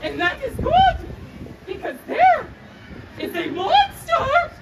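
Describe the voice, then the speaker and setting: A woman voicing a nervous, melodramatic male storybook monster, pleading in drawn-out, wailing phrases. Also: very high voice, very animated, full character voice. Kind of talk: children's storybook character acting. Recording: distant phone recording, noisy outdoor crowd, echoey.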